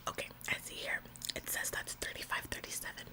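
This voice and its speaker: A woman's voice: a little fast, natural voice, rough recording. A woman in a whispered ASMR roleplay, playing a bossy, officious store employee who repeats phrases for emphasis. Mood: polite, helpful. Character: bossy, overzealous female retail store employee, falsely apologetic. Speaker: ASMR performer.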